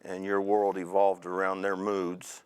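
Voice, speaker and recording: A man in his fifties from Indiana, an Army veteran telling his story in a measured, reflective way with frequent pauses. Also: low-pitched, completely flat delivery, clean tone. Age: 50s. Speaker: interview guest, Army veteran. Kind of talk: oral history interview.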